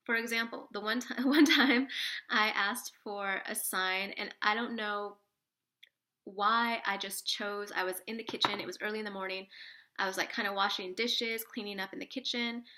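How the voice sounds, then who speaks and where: A woman with a cold, sniffly and a little rough, chatting casually to camera as a spiritual vlogger, rambling with lots of fillers and restarts. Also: mid-range voice, steady tone, normal speed, pushed and bright.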